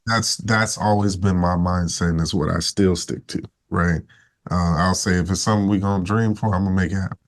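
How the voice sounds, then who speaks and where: Deep-voiced man, laid-back talk. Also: steady tone, fairly quick. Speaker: podcast guest, husband. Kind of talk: casual chat.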